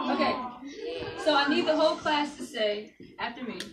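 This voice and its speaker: High-voiced woman reading in a noisy classroom. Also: lively intonation, measured pace, crowd noise. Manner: playful, directing, repeats short commands. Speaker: guest reader leading a classroom of fourth graders. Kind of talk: animated read-aloud with call-and-response. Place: busy school classroom full of seated children. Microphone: phone held at back of room. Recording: echoey classroom from far off, noisy children.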